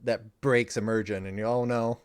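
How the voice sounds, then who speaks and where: A man's voice: medium pitch, fairly even intonation, moderate pace, clear, resonant tone. A man hosting a casual livestream chat, talking in a playful, illustrative way. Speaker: stream host.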